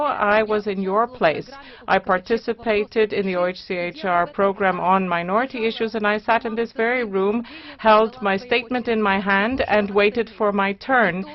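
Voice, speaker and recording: A woman giving a simultaneous interpretation of a formal forum speech, measured, with some fillers and restarts. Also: mid-range voice. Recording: dull lo-fi conference recording.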